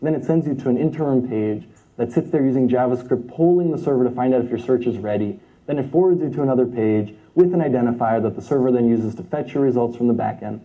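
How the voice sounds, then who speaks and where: A man's voice: medium pitch, fast, few pauses, dark tone, dull audio. A young man presenting a technical talk with a live demo on a conference stage, explaining step by step and often checking in with "okay?" and "right?". He speaks into a lapel mic. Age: young adult. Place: large conference hall stage with projector.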